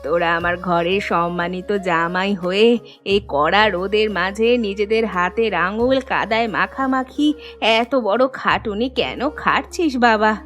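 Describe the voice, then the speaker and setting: A woman voicing a tender, doting old village mother-in-law in a Bengali animated story, sentimental in manner, with a Bengali accent. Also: medium pitch, measured pace, close to an everyday voice. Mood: fond concern. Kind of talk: animated folk story dialogue. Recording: music bed under the voice.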